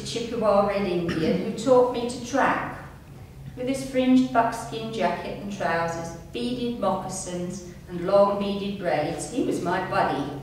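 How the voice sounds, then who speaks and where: A woman in her sixties reads her written memoir aloud to an audience in a measured storytelling manner. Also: medium pitch. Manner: measured storytelling, reading from pages. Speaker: amateur writer reading her own story. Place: indoor room at a community centre, seated. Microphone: distant camera microphone. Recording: echoey room, distant mic, faint noise.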